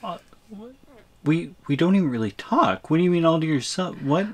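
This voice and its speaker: A man's voice: on the high side, lively intonation, normal speed. A man playing a bewildered, exasperated shrunken student in an audio roleplay, stammering and incredulous. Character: bewildered, exasperated shrunken male student, three inches tall.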